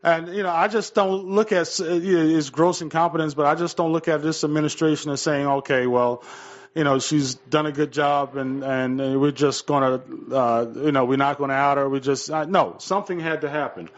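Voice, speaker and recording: Male talk radio host, higher register, hesitant and agreeable delivery, somewhat lo-fi sound. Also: steady tone, normal speed. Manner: hesitant, repetitive phrasing, often echoing and agreeing. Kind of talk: political talk radio conversation.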